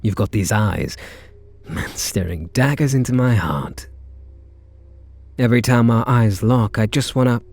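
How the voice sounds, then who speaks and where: A man's voice: mid-range voice, darkish tone. A man with a British accent playing a smitten kidnapper, a sweet-talking gangster, in an intimate ASMR roleplay. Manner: slow, hesitant sweet talk with pet names.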